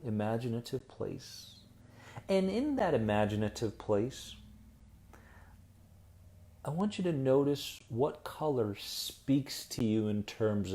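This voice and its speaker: A man hosting a live stream as an anxiety coach, upbeat and encouraging as he answers viewer comments, then slow and soothing in a guided visualization. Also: medium pitch, warm tone. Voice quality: soothing radio-style voice.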